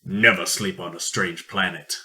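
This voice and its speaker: A man narrating an amateur science-fiction radio play in deliberate storytelling phrases. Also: low-pitched, steady tone, pushed and bright.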